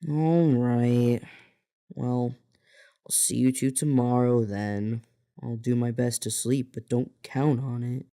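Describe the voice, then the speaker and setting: A woman's voice: a bass voice, steady tone, slow, warm tone, heavily acted. A woman playing a restless, adventurous young trainee in an audio drama, with casual dialogue. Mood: resigned.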